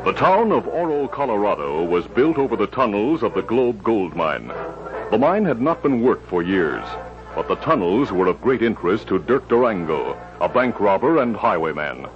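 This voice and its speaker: Casting: male announcer for vintage radio drama, emphatic and very animated, dull lo-fi sound with music. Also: on the low side, normal speed. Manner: grand, emphatic delivery. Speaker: narrator and announcer. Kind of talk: dramatic 1950s radio narration.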